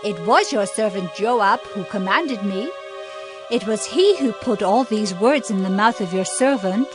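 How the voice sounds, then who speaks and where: A woman's voice: medium pitch, unhurried, over music, lightly acted. An actress playing a humble woman pleading before a king in a dramatized Bible recording. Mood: subdued, confessing. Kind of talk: dramatized Bible audio acting.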